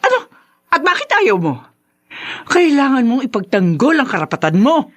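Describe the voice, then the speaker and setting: A woman's voice: high-pitched, wildly swooping pitch, moderate pace, full character voice. A woman playing a haughty, meddling middle-aged mother, scornful and scolding in Filipino radio drama style. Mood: indignant, urging.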